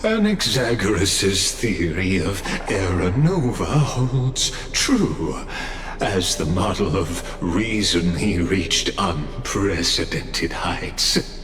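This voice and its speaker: An actor voicing an ominous, grandiose male cosmic observer in a game cutscene, speaking slowly and deliberately. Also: deep voice, sing-song, crowd noise, full character voice. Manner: slow, grandiose, deliberate delivery.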